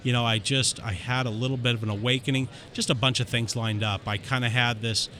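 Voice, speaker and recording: A man guest on a podcast, candidly telling his story in an animated way, with quick rushes of speech. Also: mid-range voice. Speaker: dentist guest. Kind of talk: casual interview storytelling.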